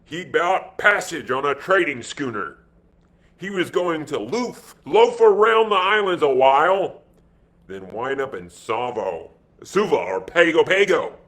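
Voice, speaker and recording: A man's voice: a little high, very animated, unhurried, fairly bright tone. A man voicing a big, sly American gangster in an adventure story, slangy and sardonic. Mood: insolent.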